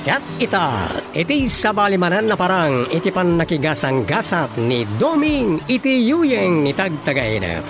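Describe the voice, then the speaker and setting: High-pitched, animated Filipino male announcer. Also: a little slow, hardly any pauses. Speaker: radio drama announcer. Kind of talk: theatrical scripted radio introduction. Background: music.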